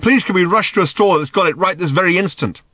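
A man's voice: medium pitch, very animated, a little fast, no echo, pitched above the performer's own voice. A man reading a comic science fiction audiobook, voicing a world-weary, exasperated man who rants in sarcastic, flustered bursts. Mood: bellowing sarcasm. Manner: bitingly sarcastic, hesitant, ranting in long flustered bursts.

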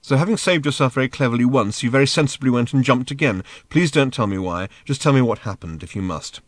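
A man's voice: medium pitch, expressive, fast, dry recording, voice pushed up, lightly acted. A man reading a comic science fiction audiobook, voicing a world-weary, exasperated man who rants in sarcastic, flustered bursts. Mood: weary resignation. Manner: bitingly sarcastic, hesitant, ranting in long flustered bursts.

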